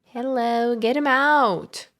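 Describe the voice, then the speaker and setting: A woman's voice: on the high side, takes it slowly, fairly bright tone, no room sound, studio quality. A woman game streamer giving casual live commentary, chatty and reactive. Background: game music.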